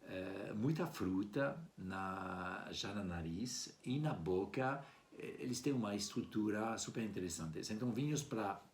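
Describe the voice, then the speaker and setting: A man with a distinctly low voice and a French accent presents wines informally. A native French speaker, he feels his way through phrases in a second language, pausing and rephrasing as he goes. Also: lively intonation. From France. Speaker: wine club presenter. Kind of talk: informal wine tasting presentation. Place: at home indoors.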